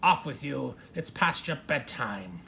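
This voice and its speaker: Bossy storybook witch sister voiced by a man, unkind, curt, expressive, noisy home recording. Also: mid-range voice, unhurried, vocal fry, exaggerated voice. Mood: dismissive. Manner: curt, commanding.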